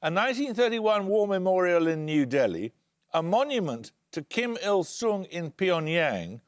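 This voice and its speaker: A man hosting a television quiz show, reading introductions and questions in a measured, precise way. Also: high-pitched, big pitch swings. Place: television studio.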